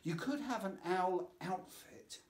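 A man's voice: sits high, slow, voice pushed up. A man voicing a bossy nine-year-old older sister with a scornful know-all manner in a children's storybook. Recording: roomy, echoing voice.

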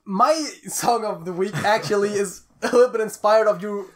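A man's voice: high-pitched, normal speed, crowd noise. A young man co-hosting a podcast with dry, nitpicking casual banter in a small room. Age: young adult. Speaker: podcast co-host. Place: small attic room, indoors.

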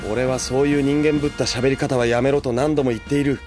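A man's voice: mid-range voice, steady tone, fast, darkish tone, faint music behind, natural voice. A man playing a humorless young military sergeant in an audio drama, speaking in a curt, clipped way. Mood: curt, annoyed. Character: stern, humorless young male soldier, a sergeant. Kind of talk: Japanese audio drama acting.

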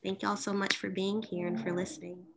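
A woman's voice: mid-range voice, little pitch movement, fairly quick, creaky, noisy background. A woman in her thirties giving a poetry reading with casual asides, recorded at home over a video call. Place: room at home with bookshelves, indoors.

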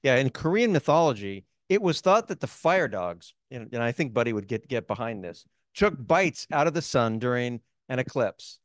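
A man's voice: mid-range voice, normal speed, hardly any pauses. A middle-aged man co-hosting a company town hall in a studio, cracking jokes between scripted lines into a handheld mic. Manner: jokey, animated, quips between scripted lines. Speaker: co-host. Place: studio set in front of a screen.

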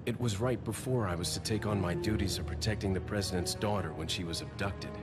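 Low-voiced cool young American agent acted by a man. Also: close to an everyday voice. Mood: serious. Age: young adult. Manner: cool, measured, dry. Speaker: video game protagonist, narrator. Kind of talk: scripted game narration and dialogue. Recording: loud music under the voice.

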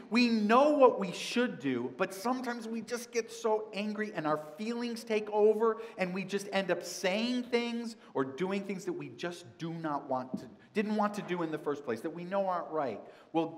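A middle-aged man, a pastor, giving a children's sermon and asking the kids many gentle questions. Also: sits high. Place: large church sanctuary. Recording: long church reverb.

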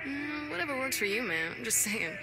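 A woman's voice: high voice, animated, brisk, loud music behind the voice, rough recording. An actress playing a tough, sarcastic female gang boss in a video game, blunt and foul-mouthed. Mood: sardonic. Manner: blunt, sardonic.

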